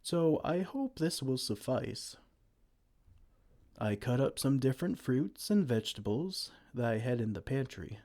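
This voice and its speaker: A man voicing a kind, gentle male giant who cares for a tiny human, speaking softly and soothingly in an ASMR roleplay. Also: mid-range voice, expressive, unhurried. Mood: tender. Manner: soft-spoken, soothing, tender pet names.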